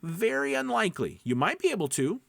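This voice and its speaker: A man's voice: high voice, big pitch swings, fairly quick, creaky, fairly bright tone, no room sound. Emphatic male coach, dry close sound. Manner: emphatic, conversational, often checks in with "okay?" and "right?"; rambling, self-interrupting. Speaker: voice-over coach and mentor. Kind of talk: informal coaching talk.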